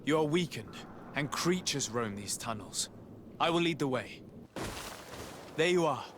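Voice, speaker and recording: An actor playing a resolute noble envoy in a game, composed, formal and authoritative. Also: male voice, fairly high pitch, animated, unhurried, background noise. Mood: reassuring, practical.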